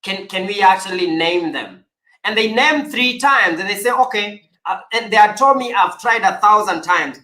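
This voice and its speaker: A man's voice: high voice, animated, moderate pace, bright, forceful tone, roomy sound, poor audio. A man hosting a coaching conversation, speaking earnestly and urging listeners to take action. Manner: earnest, motivational, with emphatic exhortations. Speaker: life coach host.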